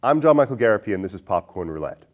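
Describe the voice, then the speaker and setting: Man, podcast host, fairly low voice, very varied intonation, casual, jokey. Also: very animated, racing, soft background music. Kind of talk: casual movie podcast chat.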